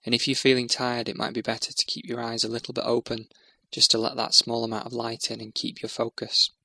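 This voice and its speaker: Male meditation narrator: bright, crisp-edged delivery with creaky fry, soothing and measured. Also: medium pitch, completely flat delivery, slight background noise. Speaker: meditation guide.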